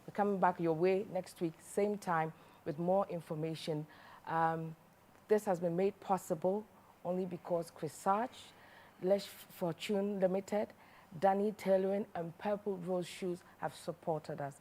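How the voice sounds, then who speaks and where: A woman's voice: fairly low pitch, steady tone, a little slow, warm tone. A woman hosting a studio talk show, closing the episode and addressing viewers directly.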